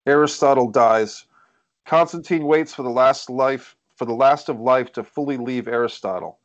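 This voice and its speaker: A man's voice: mid-range voice, normal speed, no room sound. Man hosting, dull lo-fi sound. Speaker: table read host and narrator. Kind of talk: reading stage directions, casual moderating. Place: online video call. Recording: dull lo-fi video call.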